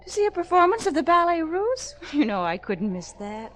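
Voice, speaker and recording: An actress playing a cheerful, affectionate young daughter in an old-time radio drama. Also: female voice, very high voice, sing-song, moderate pace. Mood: eager, affectionate. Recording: noisy old radio recording.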